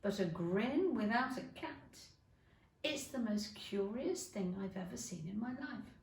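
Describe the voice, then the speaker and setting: A woman's voice: medium pitch, lively intonation, unhurried, vocal fry. A woman voicing a polite, curious young girl from a children's storybook, earnest and questioning. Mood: wondering. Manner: earnest, well-mannered, questioning. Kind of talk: children's storybook character reading. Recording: echoey room.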